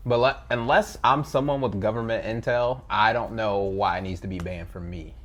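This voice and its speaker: A man's voice: fairly low pitch, moderate pace, a bit bright. A young man co-hosting a podcast in casual chat, joking and swearing loosely. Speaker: podcast co-host.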